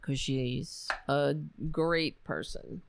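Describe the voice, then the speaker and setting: A woman's voice: a bass voice, very slow, vocal fry, noisy background. A woman hosting her own podcast, tired and losing her voice, rambling casually through her sign-off. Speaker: podcast host. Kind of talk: casual solo sign-off chat.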